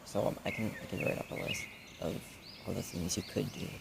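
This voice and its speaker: Over clear background ambience comes a low-voiced, creaky young guy a man acting it out, playing the supportive best friend in an audio roleplay. He speaks casually and earnestly, rambling and hesitating as he addresses the listener. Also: steady tone, fairly quick, very noisy. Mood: concerned, supportive. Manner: hesitant, rambling, casual with many trailing-off phrases.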